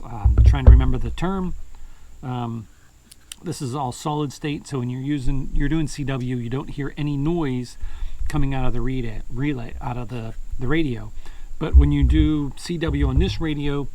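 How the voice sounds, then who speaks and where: A man's voice: mid-range voice, mellow, far from the mic, poor audio. A man giving a casual, unscripted gear review as a hobby vlogger, thinking aloud in a hesitant way.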